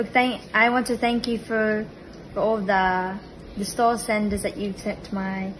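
A young woman chatting casually and playfully to a phone camera in a living room, joking as she introduces herself. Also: medium pitch. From Philippines-born, grew up in America. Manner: joking, playful put-on accents. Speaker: guest niece introducing herself on her aunt's vlog. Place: living room indoors, on a sofa. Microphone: phone a short distance away. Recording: clearly noisy home phone recording.

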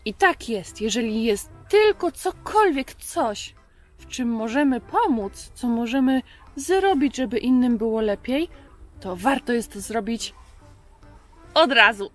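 A woman in her thirties hosting a children's Bible show, telling stories in simple, conversational words with pauses. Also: a little high, expressive, faint music behind. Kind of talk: storytelling to a puppet for children. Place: outdoors in a garden.

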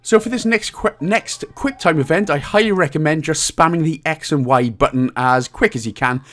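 A man's voice: fairly high pitch, moderate pace, a bit bright. A man from Wales, hosting a casual video game walkthrough with jokey, crude humour. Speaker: host of game achievement guides.

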